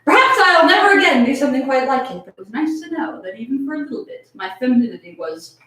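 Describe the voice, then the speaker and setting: A woman's voice: sits high, big pitch swings, fast, sharp tone, a little hiss or hum. An American woman with a giggly, playful manner, chatting and reading aloud dramatically. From United States. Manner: giggly, playful, foul-mouthed. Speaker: co-host of a fan fiction reading. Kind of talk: casual chat and dramatic comic reading. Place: echoey room. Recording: echoey room, distant from the mic.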